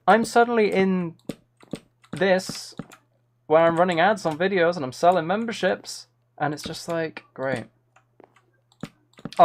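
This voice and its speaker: A young man chatting casually while he streams a game, rambling and self-deprecating into a close mic. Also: mid-range voice. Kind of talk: casual live-stream chat while gaming.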